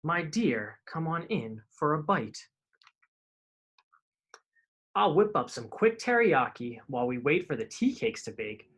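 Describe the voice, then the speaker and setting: A man's voice: a little high, big pitch swings, unhurried, pauses often, a bit bright, breathy edge, exaggerated voice. A man voicing a sly, menacing fox villain in a children's storybook, with a taunting manner. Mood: coaxing. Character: sly, menacing female fox who cooks chickens.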